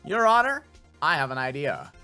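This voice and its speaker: A man voicing an earnest, excitable young male defense attorney from a video game, with a dramatic manner. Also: on the high side, moderate pace, clean tone, faint music behind, exaggerated voice. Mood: eager.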